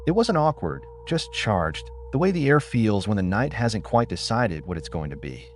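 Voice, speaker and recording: A man's voice: on the low side, big pitch swings, normal speed, a little hiss or hum. A man narrating a scripted first-person story as an audiobook. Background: music.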